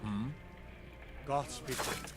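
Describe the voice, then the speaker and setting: A man voicing a gruff blacksmith in a fantasy video game, speaking in terse stock phrases. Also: medium pitch, slow pace, natural voice. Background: game music.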